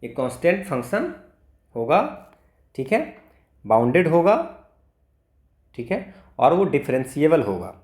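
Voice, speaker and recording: A man's voice: mid-range voice, big pitch swings, measured pace, pauses often, a little mellow. A man with an Indian accent teaching in an informal, step-by-step way, mixing two languages and often checking that listeners follow. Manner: informal, step-by-step, checks understanding with frequent tags. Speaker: maths teacher for exam preparation. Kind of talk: explanatory lesson mixing Hindi and English. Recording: echoey room sound.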